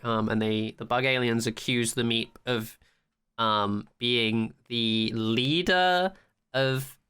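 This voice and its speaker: A podcast co-host chatting casually and enthusiastically about television, with a sweary, excitable way of talking. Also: mid-range voice, takes it slowly, clean tone. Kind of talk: casual TV episode recap chat.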